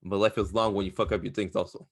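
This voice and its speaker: Casting: male podcast co-host in his twenties, deep voice, casual and opinionated, reflective advice-giver. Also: little pitch movement, fast talker, slightly rough. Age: 20s. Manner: reflective advice-giving, slangy with some swearing.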